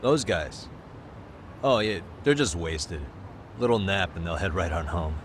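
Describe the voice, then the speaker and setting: An actor playing a cool, hard-nosed private detective in a video game dub, measured and matter-of-fact. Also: male voice, sits low, animated, slight background noise. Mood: polite, casual.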